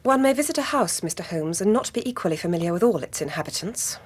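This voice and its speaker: An actress playing a guarded, indignant Victorian gentlewoman with an English accent, clipped and very formal in a British radio drama. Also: mid-range voice, lively intonation, fast, husky. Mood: cold, guarded.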